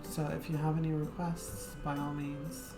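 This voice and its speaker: Woman streamer, very low voice, rambling casual chat, echoey room, game music behind. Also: flat delivery, moderate pace. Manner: rambling, hesitant, with many fillers.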